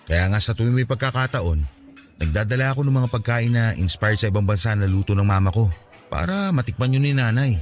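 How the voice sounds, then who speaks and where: A man's voice: lowish voice, expressive, brisk, faint music behind, natural voice. An actor with a Filipino accent playing an earnest, lovestruck young man pleading his case in a radio drama. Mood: warm, sincere.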